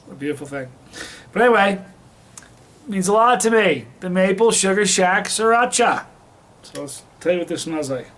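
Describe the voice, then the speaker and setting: A man's voice: high voice, lively intonation, moderate pace, long pauses, a bit bright. A man from Vermont reviewing a hot sauce in a casual vlog, chatty and rambling, with many restarts and fillers. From Vermont, USA.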